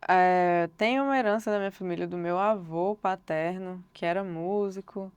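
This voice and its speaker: A young Brazilian woman, a singer-songwriter, answering interview questions thoughtfully and unhurriedly, often pausing on filler words. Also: fairly low pitch, clear, resonant tone, no room sound, dull audio. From Brasília, Brazil.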